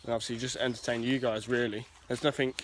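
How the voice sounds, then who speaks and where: A man's voice: medium pitch, flat delivery, fast talker, a little hiss or hum. A young man talks chattily to his viewers outdoors in the woods, picked up by a friend's handheld phone. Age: young adult. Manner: chatty, addresses viewers directly, nonstandard grammar. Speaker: vlogger. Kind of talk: casual walking vlog.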